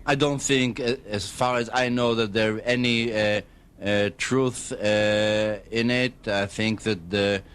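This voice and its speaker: Slow, halting man, clear voice. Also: fairly low pitch, fairly even intonation, a bit bright. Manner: halting, measured, hesitates very often. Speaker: guest, government spokesman. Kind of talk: formal diplomatic radio interview answers.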